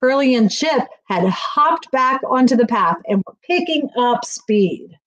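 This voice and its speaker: Woman, middle-aged, fairly high pitch, expressive, picture book narration. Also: unhurried, muffled recording. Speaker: school staff reading aloud. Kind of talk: children's picture book read-aloud. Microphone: webcam on a video call, near.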